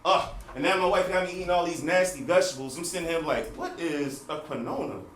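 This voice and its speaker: A noisy, echoey recording from far off carries a stage play monologue. A man acts out a frustrated, wisecracking husband in a high voice that swings widely in pitch. He talks straight to the audience, self-deprecating and exaggerated. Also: quick pace, natural voice. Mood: exasperated. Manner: conversational, addresses the audience, exaggerated. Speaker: stage actor performing a monologue. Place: stage in a theater.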